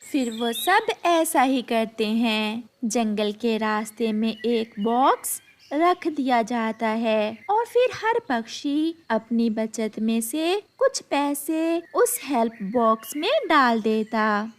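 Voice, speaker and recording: Slow high-voiced woman narrating a story. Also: expressive.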